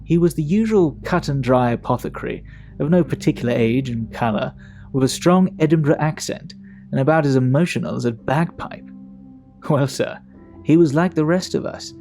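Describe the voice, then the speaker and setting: An actor playing a Victorian gentleman in an audio drama, recounting a grim tale in measured, emphatic, old-fashioned phrasing, indignant yet composed. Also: medium pitch, close to an everyday voice.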